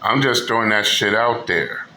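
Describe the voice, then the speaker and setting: A man's voice: on the low side, moderate pace, sharp tone. A man hosting a commentary channel, talking bluntly and mockingly with profanity as he reacts to clips. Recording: echoey room with faint noise.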